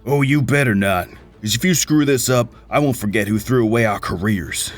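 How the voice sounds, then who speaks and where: A man playing a grizzled middle-aged mercenary major, gruff, profane and folksy in a sci-fi radio play. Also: mid-range voice, normal speed, faint music behind, voice pushed up, lightly acted. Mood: warning.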